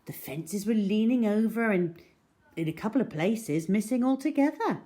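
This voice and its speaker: A woman storyteller reading a children's story aloud in an expressive, dramatic way. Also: mid-range voice, moderate pace. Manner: dramatic, animated.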